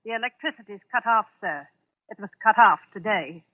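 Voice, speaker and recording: An actress playing an old, deferential, flustered housekeeper in a 1940s radio suspense drama. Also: female voice, medium pitch, brisk, dry recording, close to an everyday voice. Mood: deferential, explaining. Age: older. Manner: deferential, plain-spoken servant. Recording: muffled old radio drama, phone-like, dry.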